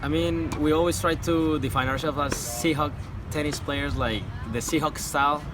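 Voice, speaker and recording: A young man with a Colombian accent, a college tennis player who answers interview questions in a casual, halting way with many restarts. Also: a little high, steady tone, noisy background. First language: Spanish. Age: young adult.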